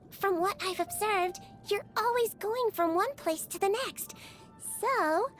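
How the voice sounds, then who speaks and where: A woman voicing a small, sweet, childlike nurse in a video game, speaking in a gentle, chatty and earnest way. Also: extremely high pitch, exaggerated voice. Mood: sweet, cheerful. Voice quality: childlike, cutesy. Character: small sweet girlish nurse creature, caring and cheerfully earnest. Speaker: infirmary nurse. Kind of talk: video game character voice lines.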